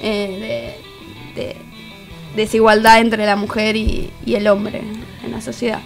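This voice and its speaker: A woman's voice: medium pitch, little pitch movement, takes it slowly, gravelly. A woman with an Argentine accent, speaking as a columnist in a radio discussion. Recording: music playing behind the voice. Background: music.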